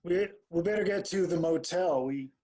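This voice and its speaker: A man's voice: high-pitched, normal speed, dark tone, slight background noise, lightly acted. A man reading a nervy, shifty band manager in a screenplay table read. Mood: uneasy.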